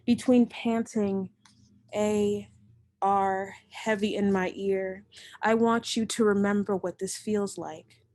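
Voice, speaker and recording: A young woman reads her poetry at home over a computer mic, her voice sitting at an ordinary middle pitch. She recites slowly and deliberately and gives each line its weight. Between poems she drops into loose, chatty remarks. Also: fairly even intonation, a little mellow, no room sound. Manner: slow, measured, deliberate recitation. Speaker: poet and host of the reading. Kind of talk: poetry reading.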